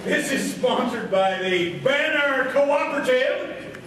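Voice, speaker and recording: A man's voice: extremely high pitch, animated, slow pace, a bit bright, slight background noise. An American man hosting a live radio stage show in a joking, folksy way. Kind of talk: folksy live comedic emceeing. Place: live stage before an audience. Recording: echoey hall, distant mic, faint crowd.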